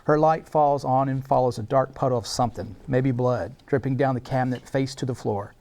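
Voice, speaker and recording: A man's voice: mid-range voice, a little fast, mellow. A man reading scene descriptions and stage directions as the narrator of a screenplay table read.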